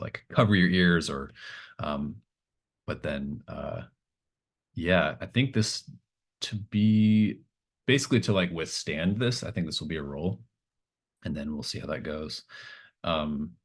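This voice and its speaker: A man acting as game master, improvising tabletop narration in a hesitant, thinking-aloud manner. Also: a bass voice.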